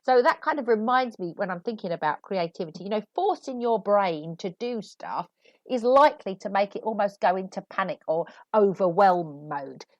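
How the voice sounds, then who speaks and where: A woman hosting a podcast and giving writing advice in a chatty, animated way, a little sniffly from a cold. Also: medium pitch, normal speed, no echo, lo-fi sound. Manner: chatty and animated, laughing asides. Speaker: podcast host and author. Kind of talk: solo podcast advice talk.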